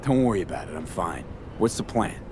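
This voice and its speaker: A man's voice: low voice, animated, brisk, background noise. A man playing a world-weary young mercenary in a video game, terse, wry and understated in a naturalistic style. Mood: resolute.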